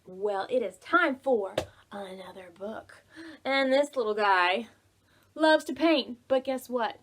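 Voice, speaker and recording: High-voiced, slow-paced woman reading to preschoolers. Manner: expressive, drawn-out words with soft hushed phrases; addresses children directly with simple questions. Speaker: children's librarian hosting preschool storytime. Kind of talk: read-aloud storytelling for young children.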